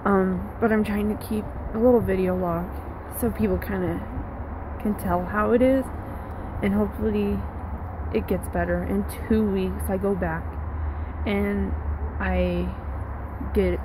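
Slow-talking young woman filming outdoors. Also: medium pitch, steady tone. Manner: candid and halting, with frequent pauses. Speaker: vlogger. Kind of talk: casual video diary. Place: outdoors in a yard with trees. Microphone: phone at arm's length. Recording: outdoor traffic noise behind.

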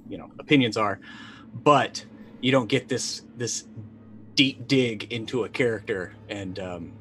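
A man's voice: on the low side, fairly bright tone. Man, hesitant, with background noise. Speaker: tabletop game player, guest. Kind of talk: casual chat. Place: indoor room, on a video call. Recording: clear background room noise.

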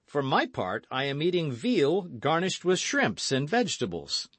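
A man voicing a lively, quick-witted musketeer with a bantering, exclamatory manner in a storybook reading. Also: fairly high pitch, no room sound, pitched above the performer's own voice, full character voice.

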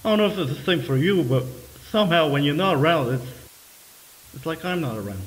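A man's voice: on the high side, expressive, fairly quick, close to an everyday voice, low-quality recording. An actor playing a timid, devoted video game brother who speaks hesitantly and earnestly, stammering as he goes. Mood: anxious, pleading.

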